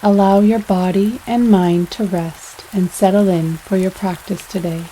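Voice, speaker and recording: A woman leading a guided yoga nidra meditation in a soothing, unhurried way, pausing between phrases. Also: medium pitch, a little hiss or hum, poor audio. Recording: steady rain sounds over voice. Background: rain sounds.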